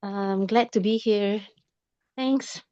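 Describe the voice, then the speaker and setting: A woman from Malaysia greeting a meeting over a video call, brisk as she heads out of the house. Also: fairly even intonation, mellow, no echo. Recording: dull low-fi call audio.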